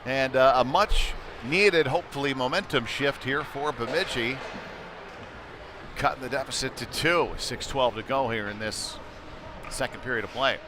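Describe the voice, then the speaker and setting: A man's voice: mid-range voice, moderate pace. A man doing live play-by-play commentary of an ice hockey game in a folksy, informal way. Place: indoor ice rink, live stream. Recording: loud rink noise behind the voice. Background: loud arena ambience, puck and board slams.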